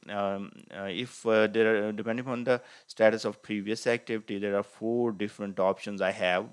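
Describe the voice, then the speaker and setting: A man with a fairly low voice gives an informal software walkthrough. He explains step by step as a tutorial presenter, haltingly and with hesitation, often correcting himself. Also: clear, resonant tone. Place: voice-over on a screen recording.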